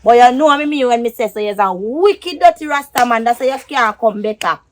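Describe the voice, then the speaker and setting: Woman acting high-voiced, scolding Jamaican woman. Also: animated, fairly quick, slight background noise, natural voice. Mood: outraged, scolding. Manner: scolding, repeats words for emphasis. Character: feisty, scornful woman quarrelling with her lover.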